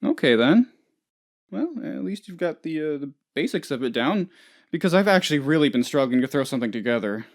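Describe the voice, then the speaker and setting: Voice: mid-range voice, big pitch swings, brisk. An actor playing a dim, anxious, childishly self-important office boss in a comedic video game, rambling hesitantly and turning whiny when upset. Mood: sheepish, deadpan. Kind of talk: comedic game dialogue, voice lines.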